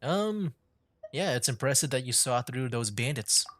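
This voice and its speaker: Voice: mid-range voice, animated, moderate pace, fairly bright tone. A streamer chatting casually while playing a video game, curious and upbeat. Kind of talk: casual gameplay commentary.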